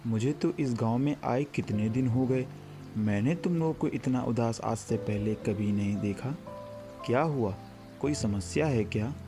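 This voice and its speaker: A man's voice: mid-range voice, normal speed, music in the background, lightly acted. A man playing a kindly, caring village schoolmaster near retirement in a cartoon story. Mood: concerned, friendly. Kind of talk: Hindi cartoon story dialogue.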